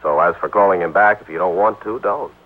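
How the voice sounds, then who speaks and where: A man's voice: deep voice, a little fast, phone-quality sound. An American actor playing a chummy, grumbling, folksy married insurance man in a 1950s radio drama. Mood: confiding, grumbling. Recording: old band-limited radio broadcast recording.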